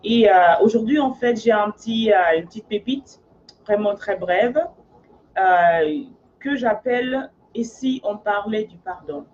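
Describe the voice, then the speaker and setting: A woman's voice: medium pitch, measured pace, a little mellow. Earnest woman coach, from Africa, lo-fi. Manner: earnest, often checks in with "d'accord?" and "en fait". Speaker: life coach. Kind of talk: casual motivational monologue.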